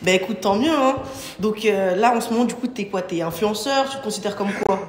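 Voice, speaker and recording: A woman's voice: medium pitch, brisk, slight background noise. A woman hosting a YouTube interview, casual and upbeat with slang and short questions. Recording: echoey hall with music behind.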